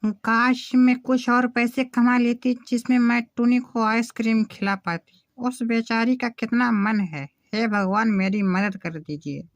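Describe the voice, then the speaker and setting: A woman as an earnest village mother, slow-paced. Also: a little high, fairly even intonation, no room sound, highs cut off. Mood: wistful, pleading. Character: poor village mother and young daughter, earnest, simple. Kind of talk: Hindi animated moral story acting.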